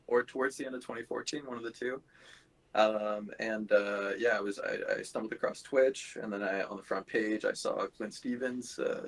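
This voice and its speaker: A man's voice: mid-range voice, vocal fry, rough recording. A man from Canada chatting casually as a podcast guest, laid-back and informal. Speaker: podcast guest, event organiser. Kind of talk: casual chat.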